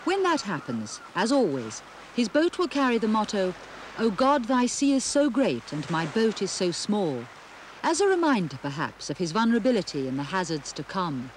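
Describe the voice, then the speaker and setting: A woman presenting a television program, reading measured narration and putting questions to a guest. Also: mid-range voice, big pitch swings. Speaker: host. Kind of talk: scripted documentary narration and studio interview. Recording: faint noise, 1970s television broadcast.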